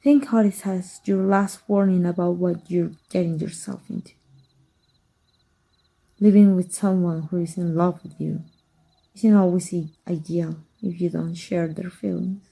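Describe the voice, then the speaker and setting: Evil female mage teacher, acted by a woman: intimate ASMR drama, low-pitched, gravelly edge, husky hush, teasing and tender. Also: steady tone, low-quality recording. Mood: confiding, affectionate. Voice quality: husky, breathy. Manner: slow, hushed, deliberate, seductive. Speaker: voice actor. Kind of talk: intimate ASMR roleplay acting.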